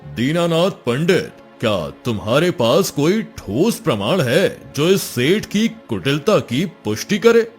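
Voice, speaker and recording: Guy doing a commanding god of death for a cartoon, stately n theatrical, takes his time, big pitch swings. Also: big pitch swings, slow pace, soft background music. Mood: questioning. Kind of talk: Hindi cartoon moral story acting.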